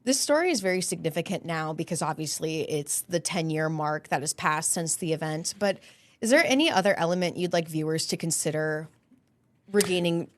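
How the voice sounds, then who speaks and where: A woman's voice: deep voice, animated, fairly quick, no echo. A young woman hosting a news segment, stuffy from a cold, chatty and bubbly with rising inflections. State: congested with a cold. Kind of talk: upbeat scripted news hosting.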